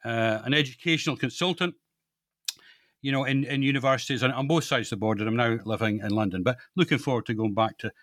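Older man, Scottish accent, interview guest, informal, hesitant with repeated words, medium pitch, dry close recording. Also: fairly bright tone, no echo. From Scotland. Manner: hesitant, halting, often repeating words as he thinks. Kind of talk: informal explanatory interview talk.

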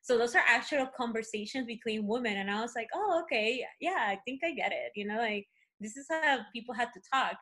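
A woman's voice: on the high side, a little fast. A woman with a Spanish accent, a native Spanish speaker, chatting as a podcast guest and telling stories with many fillers. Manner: animated storytelling, many fillers. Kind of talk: casual conversation.

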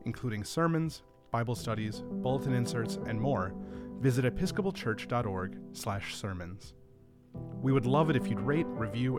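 A man reading a scripted podcast outro as an announcer. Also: medium pitch. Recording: loud guitar music under voice. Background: guitar music.

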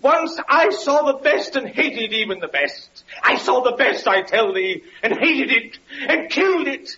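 An actor playing a bitter, lame, evil-faced wanderer with mocking, archaic declamation in an old-time radio drama. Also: male voice, extremely high pitch, sing-song, measured pace, pushed and bright, exaggerated voice. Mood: anguished raging. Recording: muffled, noisy vintage radio recording.

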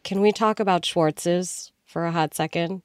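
A woman's voice: lowish voice, fairly even intonation, a little slow, dry recording, clean studio sound. A young woman from Canada hosting a food podcast, upbeat and friendly, reading a scripted intro and then chatting casually. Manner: upbeat, friendly, laughs easily. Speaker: podcast host.

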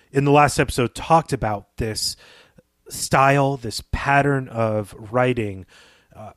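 A man with a medium-pitched voice gives literary commentary as a podcast co-host. His delivery is conversational but analytical, explaining ideas in a measured, academic way. The recording is dry, free of room echo.

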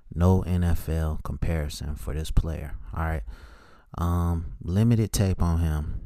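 Male sports host, low relaxed voice, dry close sound, blunt player evaluations in short phrases. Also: no echo. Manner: plainspoken, opinionated, clipped note-like phrases. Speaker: host of a sports opinion show. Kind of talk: solo football scouting commentary, part read from notes.

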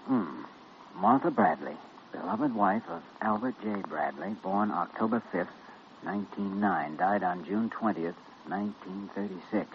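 Deep-voiced, reassuring doctor. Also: male voice, big pitch swings, a little slow, heavily acted. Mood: sober. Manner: gentle, probing, reassuring. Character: calm, reassuring, inquisitive male hospital doctor. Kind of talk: old-time radio drama acting. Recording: dull, noisy vintage radio recording.

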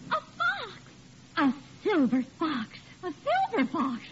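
An actress playing an adoring, sweet, gushing housewife in a vintage radio sitcom. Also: female voice, sits high, huge pitch swings, takes it slowly, fairly bright tone, full character voice. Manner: gushing, affectionate. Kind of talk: 1940s radio sitcom acting. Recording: muffled, very noisy old radio recording.

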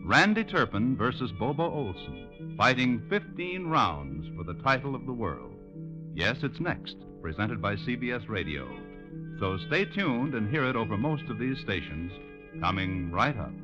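A man reading scripted announcements in the crisp, formal style of a 1950s radio announcer. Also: mid-range voice, big pitch swings, measured pace, over music. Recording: dull vintage radio broadcast recording.

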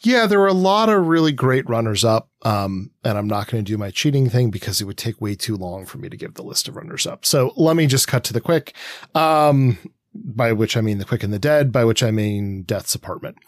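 A man's voice: mid-range voice, lively intonation, a little fast, no room sound, studio quality. A middle-aged man co-hosting a podcast with casual, analytical commentary, wandering into asides as he builds his point. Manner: discursive, self-interrupting asides. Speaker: podcast co-host.

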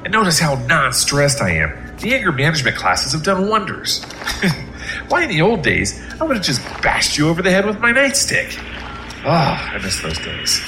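A man acting a gruff, sarcastic police captain in a radio drama. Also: a little high, wildly swooping pitch, normal speed, music in the background, poor audio.

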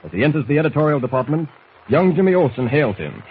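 A male announcer narrates a vintage radio serial in a fairly high voice, with declamatory, suspenseful delivery. The recording is muffled with faint hiss. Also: sing-song, quick pace.

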